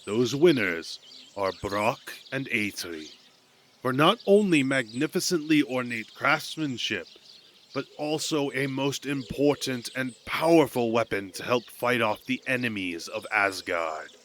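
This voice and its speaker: A man's voice: mid-range voice, animated, a little slow, fairly bright tone. A man voicing a stately, solemn king of the gods in a fantasy audio drama. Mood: solemn, grateful. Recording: amateur recording with background noise.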